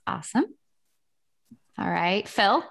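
A woman's voice: medium pitch, very animated, takes it slowly, creaky, fairly bright tone. A woman around forty from Texas, hosting a podcast panel over a video call in an upbeat, welcoming way. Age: 40s. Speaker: podcast host. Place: home room indoors. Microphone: video call with headset mic.

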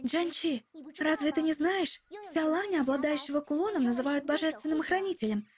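A woman playing a composed, knowledgeable teenage girl in a dubbed animated fantasy, explaining things earnestly. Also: sits high, brisk, natural voice.

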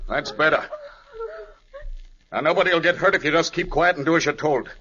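A man's voice: on the high side, steady tone, fairly quick, crowd noise, close to an everyday voice. An actor playing a menacing masked gunman giving commands in a 1940s radio drama. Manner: forceful, commanding. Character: menacing masked holdup man. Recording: muffled 1940s radio recording, voices behind.

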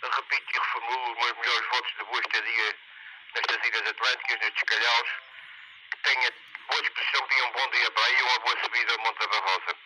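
A man chatting courteously and a little haltingly as a ham radio operator, heard through a two-way radio. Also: medium pitch, little pitch movement, bright, forceful tone. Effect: two-way radio transmission. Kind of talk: casual radio contact chat. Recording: noisy handheld radio speaker outdoors.

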